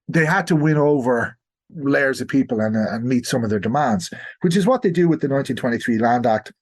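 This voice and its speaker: Male, Irish accent, mid-pitched voice. Guest historian giving explanatory interview answers, sometimes framed as his own questions. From Ireland.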